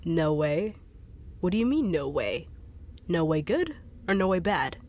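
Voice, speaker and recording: Woman, low voice, dry sarcastic no-nonsense delivery for audio drama. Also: very animated, unhurried, a little mellow. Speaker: voice actor in audio roleplay. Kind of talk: acted audio roleplay.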